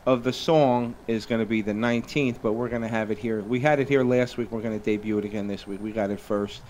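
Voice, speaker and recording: A man's voice: lowish voice, fairly even intonation, quick pace, a little mellow, a little hiss or hum. A man hosting a live talk radio show, chatting loosely and reading sponsor plugs with lots of fillers. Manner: rambling, filler-heavy, upbeat plugs. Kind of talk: casual radio chat with sponsor reads.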